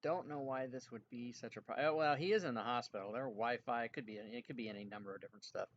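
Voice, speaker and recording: A man's voice: mid-range voice, fast, a little hiss or hum. An easygoing man runs an online tabletop game, talking in a mid-range voice. He keeps things chatty and laughs easily. The audio sounds dull and lo-fi. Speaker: game master.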